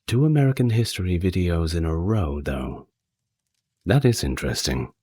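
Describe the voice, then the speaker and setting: A man speaking softly and breathily as the host of an ASMR channel, talking casually to his listeners. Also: deep voice, lively intonation, normal speed, slightly rough, dry recording.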